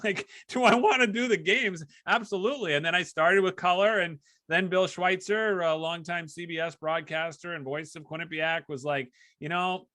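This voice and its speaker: A man's voice: fairly high pitch, bright, forceful tone, dry recording. A man hosting a casual interview, chatty and fond of telling anecdotes as a fellow sports broadcaster. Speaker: podcast host and hockey broadcaster.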